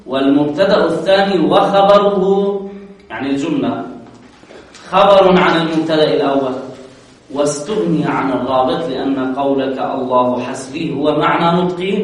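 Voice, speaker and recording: A man gives a grammar lecture and talks through a poem's lines with a slightly high voice. He puts questions to the class and answers them himself, leaning on the important words. He sounds far from the mic in a very echoey room. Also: normal speed. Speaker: teacher of Arabic grammar.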